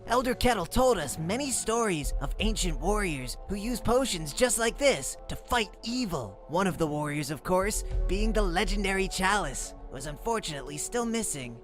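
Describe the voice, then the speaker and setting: A performer acts out an eager young male cup creature from a cartoon. He lectures like a bookish enthusiast, precise and easily excited. The voice sits at a middle pitch over faint music. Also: normal speed, close to an everyday voice. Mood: storytelling. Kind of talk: comic dub, animated cartoon lecturing.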